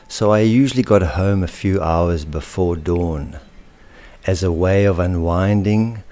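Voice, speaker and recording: Deep, slow, theatrical male storyteller. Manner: dramatic, drawn-out phrasing dropping into hushed whispers. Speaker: author reading his own story.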